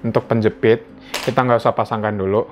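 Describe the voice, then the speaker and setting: A man's voice: fairly low pitch, fairly even intonation, fast, slight background noise. A man with an Indonesian accent giving a casual, conversational unboxing narration as a product reviewer, explaining things informally. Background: background music.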